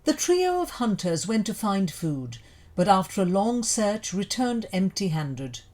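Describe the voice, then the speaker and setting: A woman narrates a children's folk tale with very animated intonation. Her pitch is mid-range and moves freely up and down, giving the deliberate, scripted storytelling an expressive lift.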